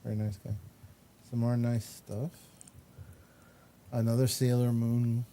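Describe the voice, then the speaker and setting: A man hosting a casual commentary on photos, speaking haltingly with pauses mid-sentence. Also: mid-range voice, level tone, dark tone, slight background noise. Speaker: host.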